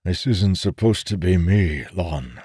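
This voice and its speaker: A man's voice: deep voice, animated, slow pace, mellow, slightly rough, dry recording, studio quality, voice pushed up, exaggerated voice. A man voicing a weary, earnest soldier in an audiobook story, with a grave and formal manner. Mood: troubled. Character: weary, earnest soldier, frustrated and doubted as madman.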